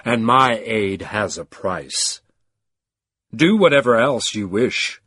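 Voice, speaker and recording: A man voicing an unseen, deep and resonant presence that speaks slowly and menacingly. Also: lively intonation, a bit bright, husky, no echo, clean studio sound, heavily acted. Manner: slow, menacing, commanding. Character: deep deadly unseen male voice, commanding.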